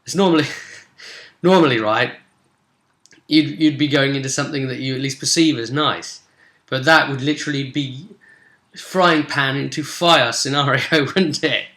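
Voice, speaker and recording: A man speaking casually as a game master, recapping a tabletop role-play session with animated, dramatic emphasis. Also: mid-range voice, normal speed, long pauses. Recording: roomy, echoey sound.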